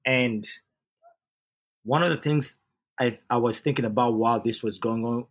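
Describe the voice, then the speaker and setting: A man's voice: mid-range voice, moderate pace. A man from Uganda, a youth sports coach, giving an earnest casual podcast monologue and often repeating and restarting his phrases.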